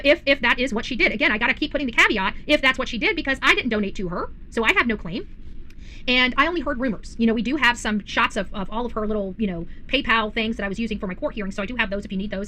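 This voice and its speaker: A woman's voice: a little high, bright, forceful tone, a little hiss or hum. A woman giving unscripted online commentary to viewers, emphatic and indignant, repeating phrases for stress. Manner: indignant, accusatory. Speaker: self-described independent journalist and online commentator.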